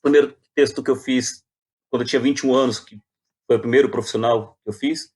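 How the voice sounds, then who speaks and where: A man's voice: mid-range voice, fairly even intonation, fairly quick, a little mellow. Brazilian man, reflective guest in conversation. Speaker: playwright and poet guest. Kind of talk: casual reflective interview talk.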